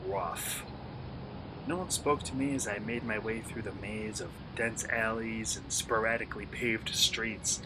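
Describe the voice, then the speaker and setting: A man playing a polite, inquisitive traveler in an eerie radio play, speaking in a courteous, measured, bookish way. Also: medium pitch, background noise, lightly acted. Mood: uneasy, brooding. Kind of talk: radio play acting and narration.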